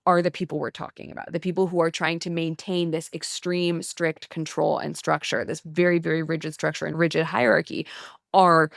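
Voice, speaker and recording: Woman podcast host, lowish voice, earnest explainer, dry close mic. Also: flat delivery, fairly quick, gravelly, dry recording. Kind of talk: casual history podcast chat.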